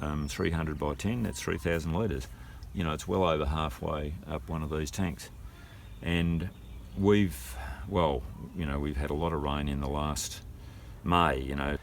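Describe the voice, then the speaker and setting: A man's voice: rumbling low, fairly even intonation, a little slow, a little hiss or hum. An older man with an Australian accent, explaining things casually and hesitantly as a homeowner, thinking aloud as he goes. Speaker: homeowner and gardener showing his water tanks.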